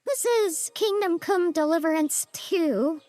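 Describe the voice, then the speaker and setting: A woman's voice: extremely high pitch, slow, warm tone. A woman giving casual video game commentary, her voice run through a voice changer. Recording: roomy sound, faint game music behind. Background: faint game music.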